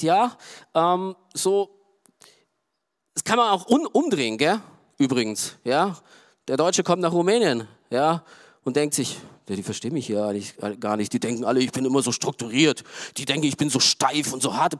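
A middle-aged man from Romania, preaching a sermon in a loose, conversational way, full of fillers and quiet asides. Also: fairly high pitch. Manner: conversational, frequent "ja" and "ähm" fillers. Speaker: pastor.

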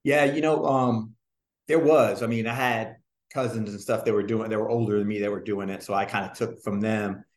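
A man in his forties from New Jersey, telling stories from his life in a relaxed, rambling interview way with many fillers. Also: on the low side, normal speed. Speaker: guest, singer and dancer. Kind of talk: casual interview storytelling. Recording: roomy echo.

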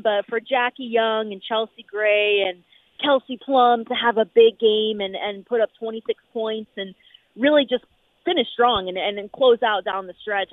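A woman sports reporter calling in from an arena, upbeat and full of fillers, her voice going hoarse. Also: mid-range voice, dry recording. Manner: upbeat, rapid, many fillers. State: losing her voice. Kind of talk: phone-in sports radio chat. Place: noisy arena. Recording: tinny phone line.

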